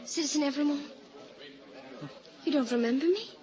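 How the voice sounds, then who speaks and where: An actress playing a meek, patient young seamstress held prisoner in an old-time radio drama. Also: female voice, sits high, fairly quick, a little mellow, a faint crowd, natural voice. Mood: timid, pleading. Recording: dull old radio recording.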